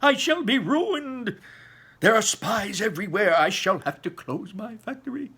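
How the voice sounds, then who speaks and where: A frantic storybook candy maker acted out by a man, shouting in melodrama and despair. The voice leaps high and sounds forceful. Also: expressive, measured pace, husky, exaggerated voice. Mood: despairing fury.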